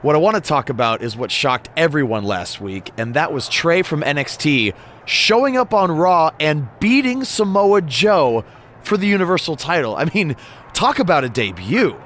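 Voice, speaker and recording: A man's voice: on the high side, very animated, normal speed, fairly bright tone, a little hiss or hum. An actor playing an exasperated straight-man podcast host in a video game scene. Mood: chatty. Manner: exasperated, keeping on topic.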